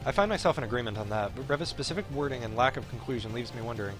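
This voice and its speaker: A man's voice: mid-range voice, lively intonation, very fast. A man reading a visual novel aloud on a stream, in dramatic narration with an esports commentary flavour. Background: faint game music.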